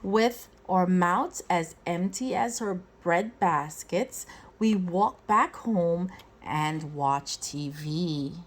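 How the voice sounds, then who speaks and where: A woman reading a children's picture book aloud in an expressive way, phrasing deliberately and acting out the dialogue. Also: fairly low pitch. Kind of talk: expressive storybook read-aloud.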